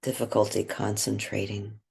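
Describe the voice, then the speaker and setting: A man leading a guided meditation in a gentle, unhurried way, with long pauses between phrases. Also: on the low side, monotone, creaky, slightly rough.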